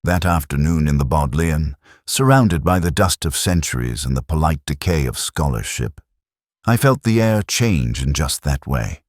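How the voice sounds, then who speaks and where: A man playing a weary, literate police inspector, narrating a detective drama in a measured, melancholy, literary way. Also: extremely low pitch, lively intonation, dry recording, studio quality. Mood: brooding, reflective. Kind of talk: scripted first-person detective narration.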